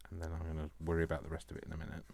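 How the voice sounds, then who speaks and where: Very deep-voiced man chatting while crafting. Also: completely flat delivery, very fast, gravelly, a little mellow, a little hiss or hum. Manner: playful muttering to himself in short repeated phrases. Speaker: craft tutorial host. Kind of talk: casual chatty crafting demonstration, thinking aloud.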